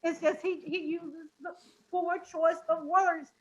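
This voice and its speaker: Earnest high-voiced woman on dull audio. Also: steady tone. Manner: earnest, measured, scolding. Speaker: panelist. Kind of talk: heated panel debate.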